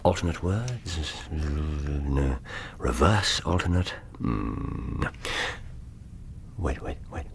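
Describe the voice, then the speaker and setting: An actor in a radio drama playing a brooding, cerebral English detective, precise and given to thinking aloud in broken bursts. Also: male voice, a bass voice, lively intonation, drawn-out words, vocal fry, pauses often, a little hiss or hum, full character voice. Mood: musing, intent. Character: brooding, cerebral English male detective recounting an old case.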